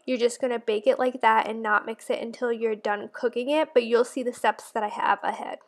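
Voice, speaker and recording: High-voiced chatty young mom. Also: female voice, steady tone, a little fast. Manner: chatty, talking straight to viewers with fillers. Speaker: family vlogger. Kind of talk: casual cooking voice-over.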